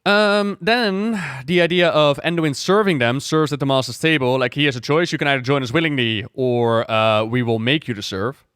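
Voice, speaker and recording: A man's voice: mid-range voice, moderate pace, few pauses, fairly bright tone, clear, resonant tone, no room sound, clean studio sound. Clean-voiced man giving enthusiastic game commentary. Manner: enthusiastic, effusive. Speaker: video game lore reactor. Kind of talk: casual reaction commentary.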